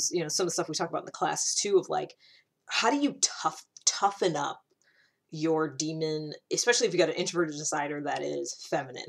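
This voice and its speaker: Woman vlogger with a lowish voice, explaining stuff casually, kinda thinking out loud as she goes. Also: creaky. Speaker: personality typing vlogger.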